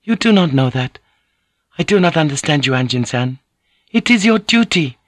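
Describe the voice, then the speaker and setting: A gentle woman of a historical storybook, acted out by a male narrator, speaks in a raised, lilting voice. She is deferential and earnest, apologizing politely as she coaxes, in a close, dry recording. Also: a little high, animated, moderate pace, no echo, voice pushed up, natural voice. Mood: earnest, insistent. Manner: polite, apologetic, careful foreign-sounding English. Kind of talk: audiobook character voice.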